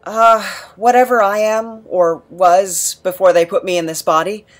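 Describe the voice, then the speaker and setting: A woman playing an awkward, emotional misfit in an audio drama, hesitant and stammering, quick to put themselves down. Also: medium pitch, steady tone, close to an everyday voice. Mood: nervous, sheepish. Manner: hesitant, stammering, self-deprecating. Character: awkward, emotional, rambling misfit named Nap.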